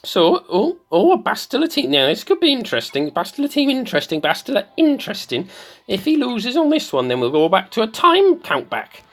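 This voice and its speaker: Someone putting on the voice of a slightly mad, excitable woman doing theatrical video game commentary, repeating phrases for effect. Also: mid-range voice, fairly quick, exaggerated voice. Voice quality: put-on comic voice. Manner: theatrical, repeats phrases, swoops between squeals and murmurs.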